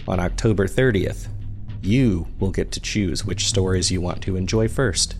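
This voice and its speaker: A man hosting a podcast, reading scripted credits and announcements in a measured, informal way. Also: low voice, creaky, soft background music. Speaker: podcast host.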